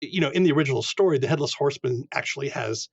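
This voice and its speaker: Male podcast interviewer, gravelly and raspy, mid pitch, casual, slightly lo-fi sound. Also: expressive, very fast.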